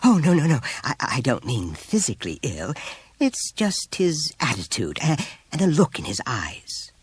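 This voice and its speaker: A man's voice: medium pitch, huge pitch swings, a little slow, slightly rough, voice pushed up, full character voice. A man voicing an anxious, polite woman schoolteacher with a hesitant, delicate and apologetic manner. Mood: flustered, apologetic.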